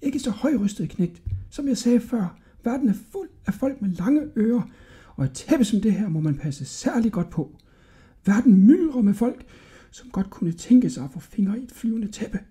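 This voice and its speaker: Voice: medium pitch, expressive, pitched above the performer's own voice, lightly acted. A storybook narrator voicing an old man who sells carpets, cautioning and secretive in an admonishing way. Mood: hushed warning. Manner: hushed, admonishing. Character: gruff-tempered old carpet seller, secretive, fond, cautioning.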